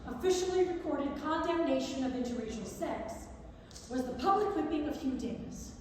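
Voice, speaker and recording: High female voice, formal read-aloud, stage performance, distant noisy hall recording. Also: lively intonation, moderate pace, a little mellow. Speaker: reader at a staged reading. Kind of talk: staged reading of non-fiction prose. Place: large indoor theatre. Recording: echoey theatre hall from far off, noisy.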